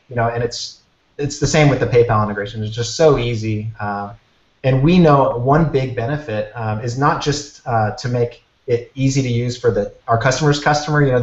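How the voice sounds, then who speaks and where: A man giving casual, enthusiastic explanations as an interview guest, in an informal, rambling way. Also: mid-range voice. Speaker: interview guest, app maker. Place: office. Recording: roomy, muffled video call from office.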